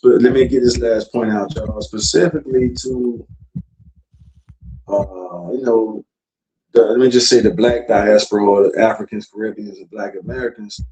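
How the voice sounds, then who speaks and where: A man's voice: fairly low pitch, fairly even intonation, moderate pace, warm tone. A man from South Florida hosting a casual talk show, lecturing loosely and swearing freely. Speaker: podcast host. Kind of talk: casual chat. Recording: distant mic with faint noise.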